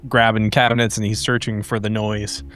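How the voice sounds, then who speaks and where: A man's voice: on the low side, little pitch movement, brisk, a bit bright, clear, resonant tone. A man speaking as a tabletop game player, informal, slangy and playful as he banters and says what his character does. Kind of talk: casual banter and describing his character's actions. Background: background music.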